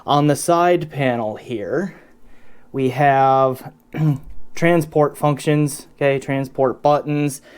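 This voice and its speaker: A man's voice: medium pitch, slow, darkish tone, clear, resonant tone. A man hosting an audio gear review in a casual, conversational, loosely explanatory way. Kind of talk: casual product review to camera.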